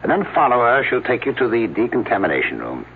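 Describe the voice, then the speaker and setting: A man's voice: medium pitch, big pitch swings, fast, slight background noise. An actor playing a hesitant male archaeology professor who hems and haws. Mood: fussy. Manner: hesitant, full of uh's. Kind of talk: 1970s radio drama acting. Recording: tinny old radio broadcast.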